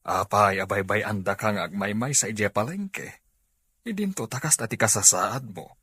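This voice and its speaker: Guy acting kind rich farm boss in a radio drama, ilocano accent, animated, dry close sound. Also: fairly low pitch, normal speed, breathy edge, dry recording, heavily acted. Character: kind well-off older man, farmhouse owner called sir.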